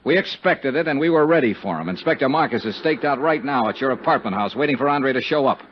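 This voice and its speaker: An actor playing a tough, cynical American investigator in a 1950s radio drama, clipped, slangy and sardonic, both narrating and in dialogue. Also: male voice, medium pitch, quick pace, close to an everyday voice. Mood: accusing. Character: hard-boiled male investigator, cynical, tough and world-weary. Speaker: lead actor and narrator of a radio detective serial. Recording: muffled, noisy 1950s radio recording.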